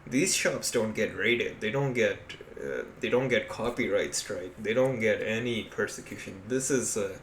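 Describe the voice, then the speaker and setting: A man's voice: on the low side, moderate pace, few pauses, fairly bright tone. A man from Pakistan co-hosting a casual podcast, explaining things in a blunt way with plenty of swearing. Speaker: podcast co-host. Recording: echoey room with background noise.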